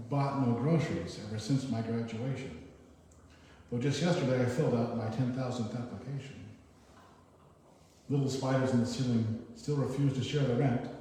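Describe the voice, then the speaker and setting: A man giving a live poetry reading, with informal, halting, self-deprecating commentary between poems. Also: mid-range voice, warm tone. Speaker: reader at a poetry event. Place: echoey hall at a live event. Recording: noisy, echoey hall, distant mic.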